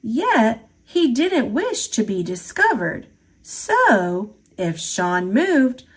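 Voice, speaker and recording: A woman reading a children's picture book aloud in an expressive storytelling style. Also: on the high side, drawn-out words, breathy edge. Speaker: storybook reader.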